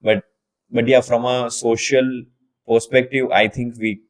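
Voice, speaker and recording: A man's voice: medium pitch, level tone, measured pace. Casting: young man from India, podcast host, mid-range voice, loose conversational delivery with plenty of restarts. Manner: thinks aloud with frequent repeated words and restarts. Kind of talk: casual interview chat.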